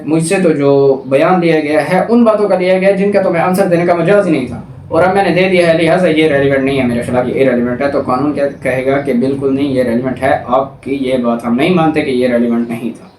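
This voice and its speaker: A man's voice: on the high side, a little mellow. A man with a Pakistani accent giving an explanatory law lecture, didactic and repeating points for emphasis. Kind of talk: explanatory lecture, alternating reading aloud and explaining. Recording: echoey room, distant mic.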